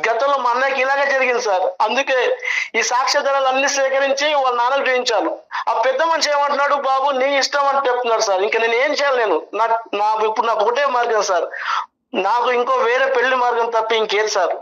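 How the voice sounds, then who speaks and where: A man's voice: extremely high pitch, fairly even intonation, fast talker, pushed and bright, muffled recording. A man, arguing emotionally as an aggrieved guest, agitated, insistent and repeating himself.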